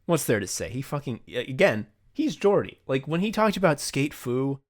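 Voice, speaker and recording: A man's voice: medium pitch, big pitch swings, normal speed. A man hosting a podcast, chatting casually and effusively, with plenty of swearing. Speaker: podcast host. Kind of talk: casual behind-the-scenes podcast chat.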